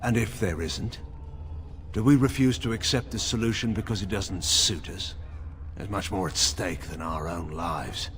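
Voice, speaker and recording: An actor playing a proud, stern middle-aged general and father in a fantasy game, speaking in a grave, measured, formal way with dry sarcasm. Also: low-pitched, fairly bright tone, slight background noise. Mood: grave, resigned. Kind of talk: dramatic fantasy game dialogue.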